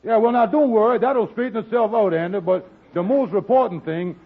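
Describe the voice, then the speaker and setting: An actor playing a scheming, fast-talking lodge boss in a 1940s radio comedy. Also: male voice, high voice, husky. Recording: tinny old radio broadcast.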